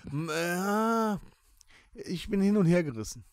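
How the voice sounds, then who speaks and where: A man's voice: sits high, animated, measured pace, clean tone, no echo, studio quality. A man talking casually as a podcast co-host among friends. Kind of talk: casual chat.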